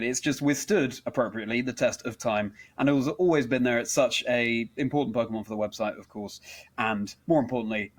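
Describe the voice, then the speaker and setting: A male podcast host with a mid-pitched voice talks in a conversational interview style. He is effusive and a little formal, building long, wordy, complimentary sentences. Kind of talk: hosted interview chat.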